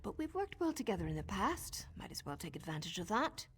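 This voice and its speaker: Sly, expressive female assassin. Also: mid-range voice, brisk, husky, exaggerated voice. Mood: wary, determined. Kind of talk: video game character dialogue. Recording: music behind the voice.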